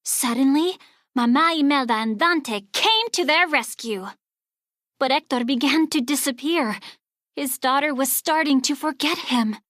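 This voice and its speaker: A woman narrating a children's storybook aloud in an expressive, scripted read-along style. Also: high voice, unhurried, no room sound, clean studio sound.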